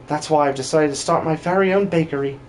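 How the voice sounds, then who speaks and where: A man voicing a polite, earnest villager with gentle, formal speech in a game dub. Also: fairly high pitch, quick pace, slight background noise, close to an everyday voice. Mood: grateful, earnest.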